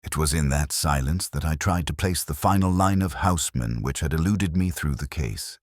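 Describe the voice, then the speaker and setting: Very deep, weary older detective played by a man. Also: no echo, studio quality. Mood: sombre, reflective. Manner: sombre, reflective, measured. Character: weary, melancholy older male police detective narrator. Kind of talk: literary first-person radio mystery narration.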